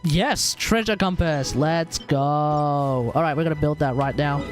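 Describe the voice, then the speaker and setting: A man's voice: fairly high pitch, measured pace, soft background music. An Australian man giving casual, rambling game commentary on a livestream, often talking to himself.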